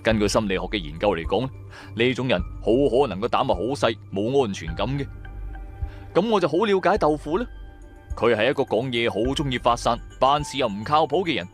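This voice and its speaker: A man with a Cantonese accent narrating a supernatural radio drama in the first person, dramatic and colloquial. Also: mid-range voice.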